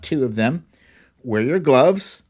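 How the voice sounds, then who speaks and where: A man's voice: medium pitch, lively intonation, slow pace, a little mellow. A man from Brooklyn hosting a livestream talk show, chatting informally and slangily straight to his viewers. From Brooklyn, New York. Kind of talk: casual livestream chat with viewers.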